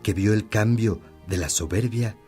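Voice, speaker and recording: Man acting a deep-voiced, wise old priest. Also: warm tone, faint music behind, lightly acted. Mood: reassuring, fatherly. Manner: measured, earnest storytelling. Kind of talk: Spanish-language radio drama acting.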